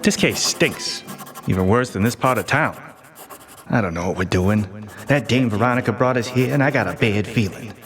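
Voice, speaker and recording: An actor playing a flustered, bumbling detective sidekick in a noir radio play, rambling and easily startled. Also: male voice, lowish voice, moderate pace, music in the background, close to an everyday voice. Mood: brooding. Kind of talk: 1940s-style radio play acting with inner monologue.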